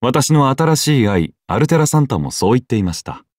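A man voicing a serene, whimsical golden sheep deity in a game, with polite, solemn deadpan delivery. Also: mid-range voice, a little fast, no echo, studio quality. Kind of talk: scripted game character voice lines.

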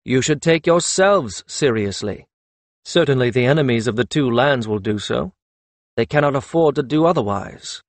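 A young lord of dragon riders played by a man, firm and earnest, with a mid-pitched voice. His speech is formal and commanding, in the manner of a fantasy audiobook, recorded dry and close. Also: expressive, normal speed, no room sound, lightly acted. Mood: grave, firm.

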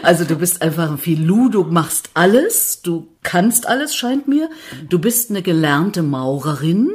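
A woman's voice: fairly low pitch, sing-song, measured pace, slightly rough. A woman hosting a casual interview, informal and familiar, with questions and short reactions. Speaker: podcast host, interviewer. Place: indoors in the guest's home.

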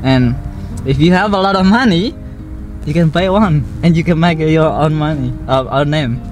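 A young Indonesian man whose first language is Javanese, hosting a travel vlog and chatting in halting English with many restarts and fillers inside a camper van. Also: a little high, darkish tone, soft background music. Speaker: travel vlogger host. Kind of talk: casual chat in halting English.

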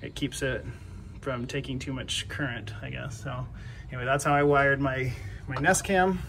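A man giving a casual hands-on DIY tutorial in a halting way, repeating words as he explains, recorded outdoors. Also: mid-range voice, steady tone, a bit bright. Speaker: DIY homeowner. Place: outdoors by a house front door. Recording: clear background noise, outdoor ambience.

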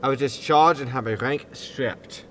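A man's voice: medium pitch, measured pace, noisy background, close to an everyday voice. A man playing a defensive, exasperated disgraced spaceship captain, indignant and grumbling, in a sci-fi comedy audio drama. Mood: grumbling, resigned.

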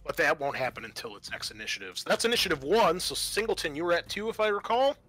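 A man's voice: a little high, very animated, quick pace, a bit bright, no echo. A man acting as game master, patiently explaining tabletop roleplaying rules in a casual, conversational way. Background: music.

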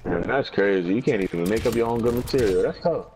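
A man's voice: fairly low pitch, expressive, fast talker, darkish tone, slight background noise. A young man trash-talking over game voice chat, taunting and mocking with repeated jabs. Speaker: gaming YouTuber.

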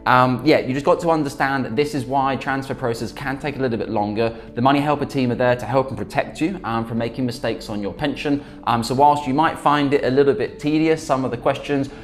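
Male, 30s, mid-pitched adviser presenter, conversational explainer, close lapel mic, soft music underneath. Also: fairly quick, few pauses. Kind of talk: explanatory talk to camera. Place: small quiet office, indoors.

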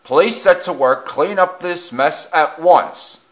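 A man's voice: fairly high pitch, takes it slowly, pitched above the performer's own voice. A man voicing a stern, authoritative railway director in a children's story, speaking formally and commandingly.

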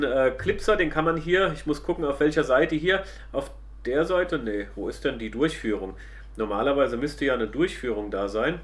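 A middle-aged man, casually explaining a product to viewers as he reviews it. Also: medium pitch. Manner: explanatory, addresses viewers directly.

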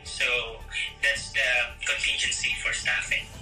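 A middle-aged man answering interview questions casually and haltingly over a phone video call. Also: medium pitch, completely flat delivery, bright, forceful tone. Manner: halting, thinking as he speaks. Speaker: interview guest, nursing facility administrator. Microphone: phone video call at arm's length. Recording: echoey, distant video call, faint music behind.

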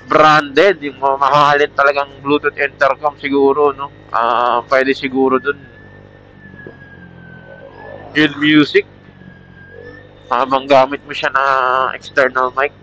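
Bright-voiced Filipino man on muffled helmet mic. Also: mid-range voice, little pitch movement, slow pace, pauses often, slight background noise. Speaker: motovlogger. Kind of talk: casual rambling vlog talk with product pitch. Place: outdoors, riding a motorcycle at night. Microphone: Bluetooth helmet intercom mic. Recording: muffled helmet intercom mic, band-limited.

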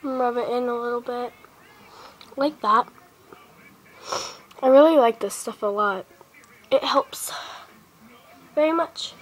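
A woman's voice: fairly high pitch, takes it slowly, long pauses. Sniffly teenage girl doing a makeup tutorial. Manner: chatty, step-by-step, talking while applying makeup. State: sick and sniffly. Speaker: beauty vlogger. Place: small bedroom indoors. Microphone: handheld device camera close up. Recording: faint background noise, lo-fi device mic.

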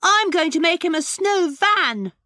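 A woman voicing an eager young village child in a children's storybook, with a bright, excited manner. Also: extremely high pitch, a little slow, no echo, studio quality, heavily acted.

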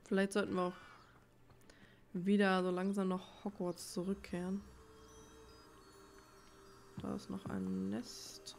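A woman's voice: mid-range voice, flat delivery, slow, long pauses, a little mellow, slight background noise. A woman giving casual gameplay commentary, musing to herself in an informal way. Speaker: let's player. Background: game music.

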